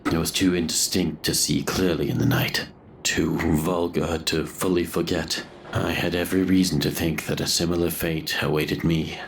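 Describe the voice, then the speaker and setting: A man playing a haunted grave robber who narrates a gothic horror audio drama in brooding, literary, measured phrases. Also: deep voice, little pitch movement, creaky, slight background noise. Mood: grim, haunted. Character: haunted male grave robber narrating his grim confession.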